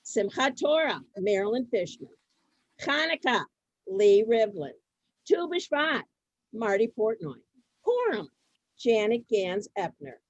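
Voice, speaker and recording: A woman hosting an online event over a video call, chatting informally and haltingly, often repeating her phrases. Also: medium pitch, very animated, no echo, lo-fi sound. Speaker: host of an online reader's theater event.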